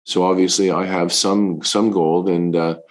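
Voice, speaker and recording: Give me a middle-aged male fund manager on a remote call, his voice made deeper by illness, speaking haltingly. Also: steady tone. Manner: halting, many fillers and restarts. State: recovering from covid, voice deepened. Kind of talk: interview answers.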